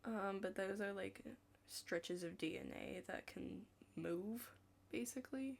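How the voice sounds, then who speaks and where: A young woman chatting casually in an ASMR study roleplay, rambling and hesitant, hushed and slipping into whispers. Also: medium pitch, slow pace, vocal fry. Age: young adult. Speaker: ASMR creator playing a study partner.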